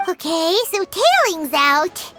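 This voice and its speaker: Squeaky, very high-pitched tiny fairy companion. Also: female voice, very animated, slow pace, pushed and bright, soft background music, full character voice. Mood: curious. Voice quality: squeaky, childlike. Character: small childlike fairy companion, excitable and fretful. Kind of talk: video game voice acting.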